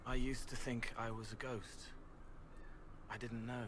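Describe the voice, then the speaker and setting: A man plays a gentle, haunted spirit in a young man's shape for a video game. He speaks slowly and earnestly in halting little phrases. There is clear noise behind the voice. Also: medium pitch, flat delivery, close to an everyday voice. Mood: wistful, earnest.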